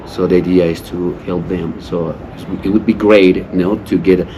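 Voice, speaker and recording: Deep-voiced Peruvian man over background noise. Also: steady tone, normal speed, dull audio. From Cusco, Peru. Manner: repeats "you know" often. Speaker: local partner in conversation. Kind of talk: casual conversational explaining. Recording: clear background ambient noise.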